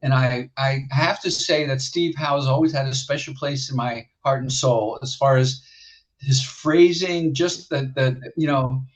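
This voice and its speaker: A man's voice: mid-range voice, unhurried, rough recording. A man in his sixties from the Netherlands, telling his life story as a musician guest in a casual interview, with many restarts and fillers.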